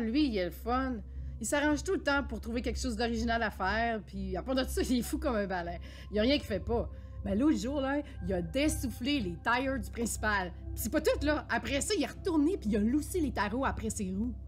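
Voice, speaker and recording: A woman's voice: on the high side, lively intonation, brisk, faint music behind, lightly acted. A woman reading the part of an easygoing teenager, talking casually to the audience. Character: northern Ontario teenager in last school year, easygoing. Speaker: reader in a staged play reading. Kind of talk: theatre reading of a monologue.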